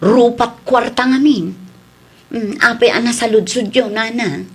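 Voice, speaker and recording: A worried, defensive wife in a radio play, with a fairly high voice. She speaks earnestly and pushes back against accusations. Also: a little slow, slightly rough. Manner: earnest, protesting. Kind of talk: radio drama acting.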